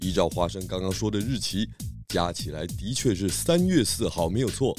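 Man as a calm, low-voiced pig detective. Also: moderate pace, faint music behind. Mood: approving, explaining. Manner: patient, explanatory, gently instructive. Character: calm, kindly adult male pig detective. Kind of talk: children's detective audio drama.

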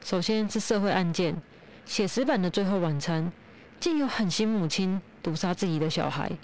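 A woman playing a composed television news anchor, reading formally in a measured way. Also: lowish voice, expressive. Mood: formal, serious.

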